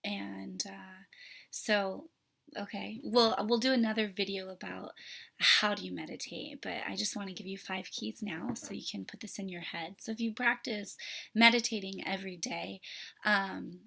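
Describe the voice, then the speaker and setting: A woman parenting instructor, hoarse from a sore throat, talking earnestly to camera with many pauses and fillers. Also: medium pitch, steady tone, bright, forceful tone. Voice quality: raspy. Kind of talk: casual talk to camera.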